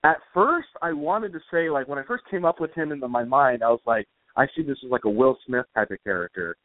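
A man giving casual, rambling interview answers as a guest author, speaking in a hesitant, halting way. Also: medium pitch, animated. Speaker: guest, comic book author. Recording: roomy, phone-like internet radio call.

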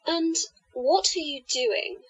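A woman's voice: high voice, slow pace, highs cut off. A woman playing a confident, affectionate otherworldly woman with hypnotic powers in an audio drama, commanding yet doting.

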